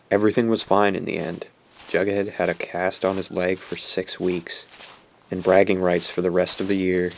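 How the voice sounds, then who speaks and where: A man acts as a bitter young survivor telling his own grim story in a radio drama. His voice is very low and barely varies, brooding and resigned, with some ambient noise behind it. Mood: wistful, brooding. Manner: brooding, self-loathing, low-key. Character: bitter, guilt-ridden young man narrating a zombie apocalypse. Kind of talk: first-person radio drama narration.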